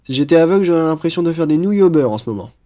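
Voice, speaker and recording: A man's voice: fairly high pitch, lively intonation, very fast, warm tone, close to an everyday voice. A young man playing a cheerful, teasing student roommate in a casual, one-sided audio roleplay. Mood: friendly, joking. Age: young adult. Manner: playful, chatty, bantering.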